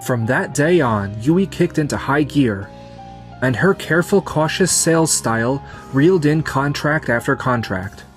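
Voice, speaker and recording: A man's voice: medium pitch, lively intonation, unhurried, faint music behind, close to an everyday voice. A man voicing a kind, sincere young businessman in a romantic comedy manga dub. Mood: narrating.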